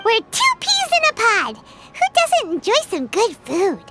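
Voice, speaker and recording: Squeaky lil anime game mascot girl, super high, excitable, drawn out words. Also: unhurried, exaggerated voice. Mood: delighted. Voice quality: squeaky, childlike. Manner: refers to herself in third person, exclaiming. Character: tiny childlike female fairy guide, excitable food lover. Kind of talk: anime video game voice acting. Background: music.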